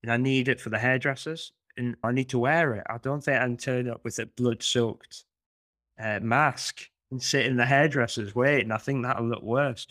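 A man's voice: medium pitch, fairly even intonation, normal speed, a bit bright, dry recording, studio quality. A man co-hosting a podcast, telling a long rambling story in a casual chat. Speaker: podcast co-host.

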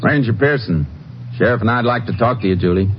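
An actor playing a calm, firm Texas Ranger investigator in a 1950s radio crime drama, terse and matter-of-fact. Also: male voice, mid-range voice, a little fast, a little hiss or hum, close to an everyday voice. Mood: calm, measured. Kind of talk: 1950s radio crime drama acting and first-person narration. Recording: muffled old-time radio recording, music behind.

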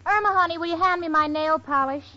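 A woman's voice: very high-pitched, fast, music in the background. An actress playing a sensible, poised, drily patient young single woman in an old radio sitcom. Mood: bright, poised. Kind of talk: 1940s-50s radio sitcom acting. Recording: dull, noisy old radio recording.

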